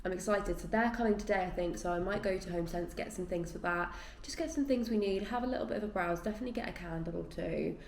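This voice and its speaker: A woman's voice: lowish voice, quick pace, hardly any pauses, reverberant, a little hiss or hum. A woman chatting casually to camera at home, rambling and a bit tired and stuffy. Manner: chatty and rambling, lots of "like" and "yeah". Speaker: vlogger. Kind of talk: casual chatty vlog talk. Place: at home in her flat.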